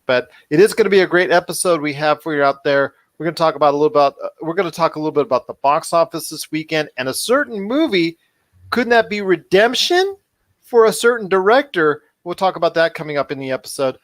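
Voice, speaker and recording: A man's voice: fairly high pitch, animated, quick pace, dry recording. A man hosting a podcast in an upbeat, chatty style, wordy and given to repeating himself. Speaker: podcast host.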